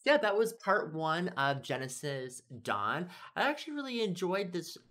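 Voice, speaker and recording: A man's voice: a little high, very animated, normal speed, fairly bright tone. A man in his thirties giving casual reaction commentary as a video host in a small room. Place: small plain indoor room.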